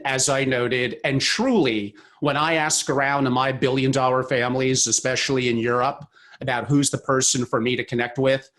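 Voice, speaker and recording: A man hosting a podcast, reading a formal introduction and asking interview questions in a measured way. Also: medium pitch, fairly bright tone. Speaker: podcast host.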